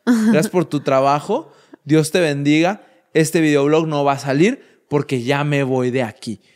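A man in his thirties from Mexico, co-hosting a podcast with a light, slightly raised voice. He tells stories in a playful, teasing way and throws in quick asides. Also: on the high side, a little mellow. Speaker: podcast co-host. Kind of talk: casual storytelling chat.

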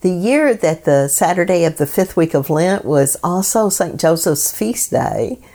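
A woman hosting a devotional program, reading scripted meditations in a solemn, measured way. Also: fairly low pitch, lively intonation, dark tone.

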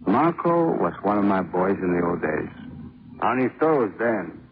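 A menacing mob boss in an old radio crime drama. His voice sits very low, and he talks in halting, rough grammar with smug, deliberate pauses. The recording is muffled and noisy. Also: sing-song. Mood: smug, musing. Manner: halting, ungrammatical tough-guy talk with deliberate pauses. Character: menacing deported male gangster boss, slow and smug. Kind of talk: 1950s radio drama acting.

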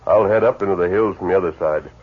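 Deep-voiced, decisive western hero, tinny 1950s radio broadcast. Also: male voice, fast, breathy edge. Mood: steady command. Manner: firm, decisive orders. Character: masked male western hero, calm commanding leader. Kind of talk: 1950s radio drama acting.

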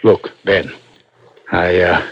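An actor playing a crafty police interrogator in a 1960s radio drama, coaxing and insinuating with deliberate, halting questions. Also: male voice, low voice, fairly even intonation. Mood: confiding, coaxing. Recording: dull vintage radio broadcast recording.

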